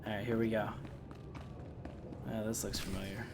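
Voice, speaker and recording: A man's voice: on the low side, level tone, moderate pace, background noise. A man doing casual, joking, rambling live commentary while playing a video game. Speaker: game streamer. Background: video game music.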